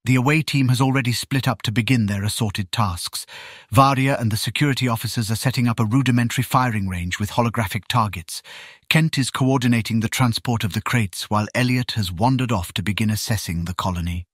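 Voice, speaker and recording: A male narrator with an average-pitched voice reads scripted scene descriptions for an audio drama. The recording is dry, with very little room sound. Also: brisk, slightly rough, dry recording.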